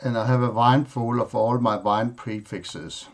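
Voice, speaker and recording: A man whose first language is Danish, hosting a tech tutorial and walking through his computer setup in a plain, halting way, listing items with pauses and fillers. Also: medium pitch, steady tone. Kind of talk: screen-recorded software walkthrough.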